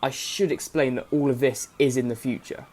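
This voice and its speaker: A man's voice: medium pitch, steady tone, fairly quick, a little mellow, close to an everyday voice. Man acting a chatty, flippant narrator. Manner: informal, flippant, self-aware. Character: chatty, irreverent narrator addressing the audience. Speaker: actor narrating a radio play. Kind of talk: chatty direct address to listeners.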